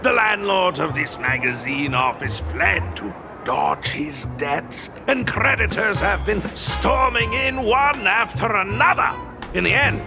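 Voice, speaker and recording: High creaky voice, feisty pet receptionist, theatrical and courteous, video game character, music behind. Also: male voice, exaggerated voice. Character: excitable pet receptionist and detective's assistant, polite but feisty.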